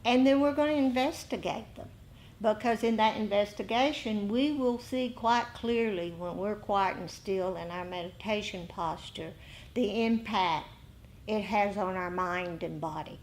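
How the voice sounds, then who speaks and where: A woman giving an informal dharma lesson to a meditation group, pausing between short phrases. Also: mid-range voice, a little hiss or hum. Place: indoor group meeting.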